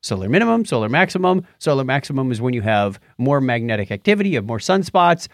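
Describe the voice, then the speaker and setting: Lively, joking male podcast co-host. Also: medium pitch, fast, no room sound, studio quality. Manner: jokey, wisecracking. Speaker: co-host of a science news podcast. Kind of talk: casual banter and news commentary.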